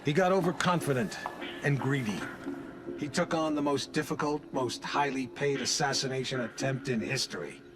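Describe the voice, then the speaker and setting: Game intelligence chief, male actor: composed, knowing storyteller, mid-range voice, measured delivery. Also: mid-range voice, noisy background, close to an everyday voice. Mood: smug, measured. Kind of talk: video game cutscene acting.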